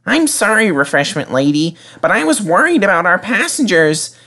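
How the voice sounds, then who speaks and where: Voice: mid-range voice, sing-song, normal speed, bright, forceful tone, pitched above the performer's own voice, full character voice. A man voicing an eager, naive young little steam engine from a storybook. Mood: apologetic.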